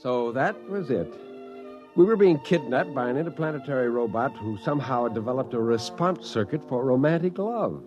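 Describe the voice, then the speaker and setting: A man's voice: mid-range voice, animated, fairly quick, a little mellow, highs cut off, faint music behind, close to an everyday voice. A man playing an exasperated spaceman, emphatic and wry, in a 1950s radio drama. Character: exasperated spaceman narrating his kidnapping by a robot.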